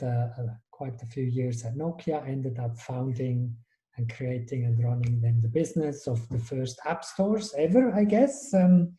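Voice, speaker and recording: A man speaks as an interview guest with a very dark, mellow tone. He thinks aloud and often restarts his sentences. The room around him gives a noticeable echo. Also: mid-range voice. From Switzerland. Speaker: guest, innovation strategist. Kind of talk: conversational interview.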